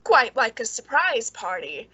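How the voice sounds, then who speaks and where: A woman's voice: fairly high pitch, sing-song, unhurried, heavy background noise. An actress playing a nervous, secretly bitter woman with a hesitant, pleading manner in an old-time radio suspense drama. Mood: brooding narration.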